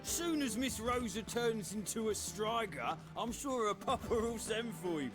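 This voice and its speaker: An officious gate guard in a fantasy game. His voice is pitched high for a man, insolent and scornful, with rough working-class wording and music playing behind. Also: expressive, unhurried. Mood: mocking, dismissive. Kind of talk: video game dialogue acting.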